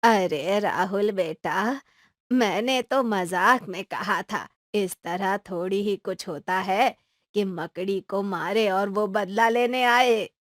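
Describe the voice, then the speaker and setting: A woman doing a slow-talking cartoon granny. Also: mid-range voice, no room sound, studio quality, natural voice. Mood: soothing. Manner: slow, drawn-out lines. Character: old grandmother, teasing but gentle. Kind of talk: cartoon voice acting.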